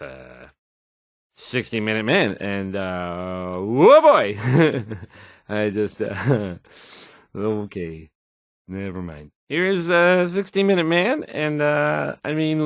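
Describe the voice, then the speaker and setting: A bumbling young radio DJ for a video game, swinging up and down in pitch as he stammers. He sounds flustered and unsure of himself, often trailing off, in a dry, close studio sound. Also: a bit bright, no room sound, full character voice. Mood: awkward, amused. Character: nervous, awkward, bumbling young male radio DJ. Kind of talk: scripted game voice acting, halting radio patter.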